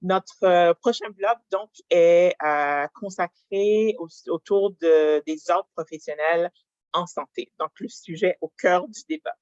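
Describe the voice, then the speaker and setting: A dry, muffled online recording of a webinar moderator. The delivery is formal and measured, hesitating often with drawn-out pauses, in a middle-pitched voice. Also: a little mellow, dry recording. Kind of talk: formal presentation, introducing panelists. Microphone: video call. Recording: dull lo-fi online call audio.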